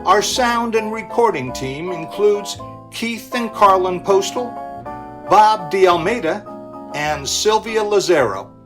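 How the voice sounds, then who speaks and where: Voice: medium pitch, expressive, slow, over music. An announcer reading scripted closing credits for a radio theater show.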